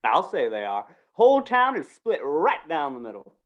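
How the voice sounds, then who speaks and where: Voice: mid-range voice, wildly swooping pitch, moderate pace, highs cut off. Someone acting a swooping, folksy western town gossip. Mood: relishing the tale. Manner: drawling, folksy rural talk. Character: gossipy folksy small-town justice and undertaker. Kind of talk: old-time western radio drama acting.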